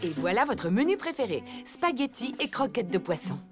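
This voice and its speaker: A woman voicing a patient cartoon elephant mother who talks gently and reassuringly to her kids. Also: on the high side, very animated, moderate pace, loud music behind the voice. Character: elephant mother, warm, patient home cook.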